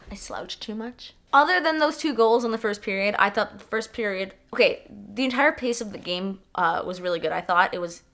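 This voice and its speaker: Excitable young woman, bright stuffy voice. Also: mid-range voice. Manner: excitable, swears casually, animated storytelling. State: stuffy from a cold. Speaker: hockey fan vlogger. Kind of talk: casual game review vlog.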